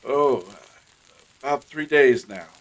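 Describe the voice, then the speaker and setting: A man playing a courteous, worried village gentleman in a period audio drama, formal and slightly hesitant. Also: mid-range voice, a little slow, close to an everyday voice, low-quality recording. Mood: courteous, sombre.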